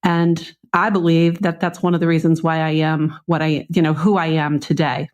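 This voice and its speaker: A woman's voice: sits low, level tone, moderate pace, a little mellow, lo-fi sound. Middle-aged woman with a Boston accent. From Boston, United States. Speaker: podcast guest. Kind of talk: conversational personal storytelling.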